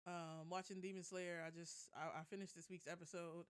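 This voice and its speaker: A woman chatting casually as a podcast co-host, retelling things in slangy, profane, animated language. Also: fairly low pitch, a little fast, clean tone. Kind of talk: casual banter about TV and anime.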